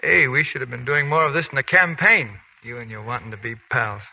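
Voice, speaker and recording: An actor in a 1940s radio play voicing a brash young soldier who talks tough and slangy. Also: male voice, medium pitch, a little fast, vocal fry, lo-fi sound, slight background noise, natural voice. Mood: tender. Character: brash young male soldier called Kansas, tough and cocky.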